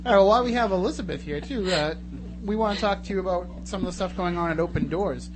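A man's voice: high voice, fast. A man hosting a radio show in a casual, joking, chatty style. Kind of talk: casual chat. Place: radio studio. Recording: noisy studio audio with hum.

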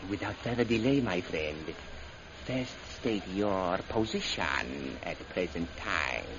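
Scheming Chinese general, noisy old radio. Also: male voice, deep voice, animated, takes it slowly, dull audio, heavily acted. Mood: smooth, scheming. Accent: stage Chinese. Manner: clipped broken English, formal, proverb-quoting. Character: volatile, scheming Chinese male general, loyal agent. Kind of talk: old-time radio adventure serial acting.

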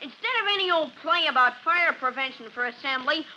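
A woman plays a brainy, argumentative schoolboy in a vintage radio serial. The voice is high and piercingly bright, insistent and stubborn. Faint hiss sits on the old recording. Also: fairly quick, low-quality recording. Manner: insistent, know-it-all. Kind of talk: old-time radio drama acting.

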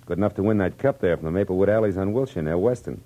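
A man's voice: low voice, rapid-fire, mellow. An American actor playing a wry, flirtatious, tough-talking male private detective in a 1940s radio drama. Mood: sardonic, needling. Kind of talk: 1940s radio acting with first-person narration. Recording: old radio recording with music.

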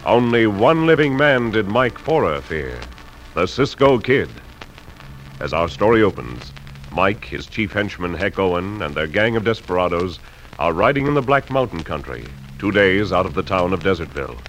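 A man's voice: low-pitched, big pitch swings, normal speed, a bit bright, slight background noise, rough recording. A man narrating a western as a dramatic announcer in mid-century radio style.

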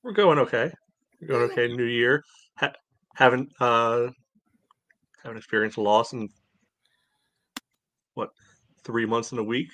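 A man's voice: medium pitch, unhurried, pauses often, a bit bright, dry recording. A man on a sports podcast panel, chatting casually.

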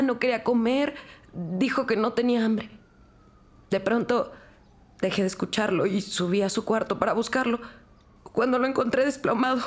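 A woman acting a stunned, grieving single mother in an audio crime drama, speaking in a halting, subdued way. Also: a little high, close to an everyday voice. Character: grieving single mother of a boy who just died.

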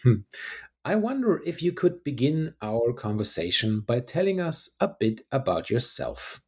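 A man's voice: medium pitch, big pitch swings, unhurried, darkish tone, breathy edge. A man hosting a podcast, upbeat as he reads an introduction to an interview. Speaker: podcast host.

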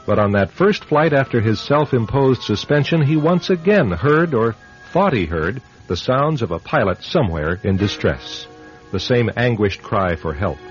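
A man narrating a radio suspense drama as a solemn, dramatic storyteller. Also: lowish voice. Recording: dull old radio-style recording.

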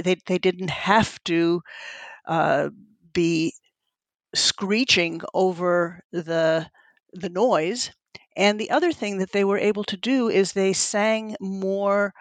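A woman's voice: on the low side, no echo. A middle-aged woman whose first language is Italian, a neuroscientist answering interview questions in an earnest, thoughtful way, often searching for words. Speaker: neuroscientist, lab head. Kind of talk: interview guest, conversational.

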